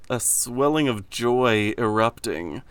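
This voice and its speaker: A young man co-hosting a podcast, talking in a deep, slightly gravelly voice with a flat, deadpan, sarcastic delivery. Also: slow, no room sound. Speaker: podcast co-host. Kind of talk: casual chat.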